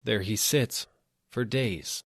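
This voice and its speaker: A man with a low voice reads literary fiction as an audiobook narrator. His delivery is measured and deliberate, moving phrase by phrase. The recording is dry, with hardly any room sound. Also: a bit bright, slightly rough, no echo.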